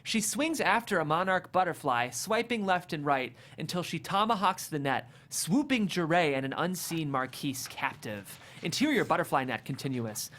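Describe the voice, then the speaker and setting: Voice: medium pitch, expressive, normal speed, hardly any pauses, a bit bright. Screenplay narrator, vivid, amused, very varied intonation, comedy table read. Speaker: stage directions narrator.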